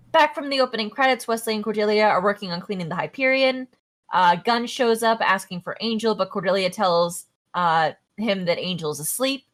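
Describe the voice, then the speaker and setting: Woman cohost recapping a tv episode, chatty, laughs a lot, mid-pitched voice. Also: fairly bright tone. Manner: chatty, laughs often while retelling plot. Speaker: podcast co-host.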